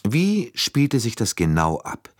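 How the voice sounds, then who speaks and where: A man's voice: mid-range voice, wildly swooping pitch, slow, no echo, studio quality, pitched above the performer's own voice. A man voicing a composed, methodical detective who questions courteously and precisely in an audiobook. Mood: precise, questioning. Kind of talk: audiobook character dialogue.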